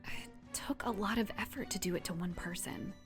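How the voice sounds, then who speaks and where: A woman's voice: mid-range voice, brisk, soft background music, close to an everyday voice. A woman playing a determined, wary superpowered heroine in an audio drama, earnest with a dry, teasing edge. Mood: tense, cautious. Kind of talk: scripted audio drama acting.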